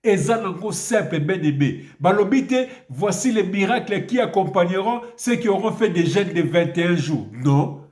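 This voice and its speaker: A priest preaching a video sermon, with a cold and an emphatic style full of rhetorical questions. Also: male voice, sits high, animated, moderate pace, darkish tone. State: has a cold. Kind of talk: video sermon with prayer and Bible reading. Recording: echoey room.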